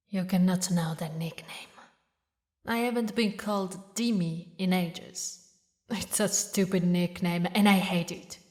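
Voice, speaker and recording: Woman voicing a slow-spoken, emotional demon queen. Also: mid-range voice, darkish tone, close to an everyday voice. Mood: stern, disbelieving. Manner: dramatic, halting, breathy whispers, emotional. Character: grieving vengeful demon queen, tender toward lost female lover. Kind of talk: scripted romantic audio roleplay.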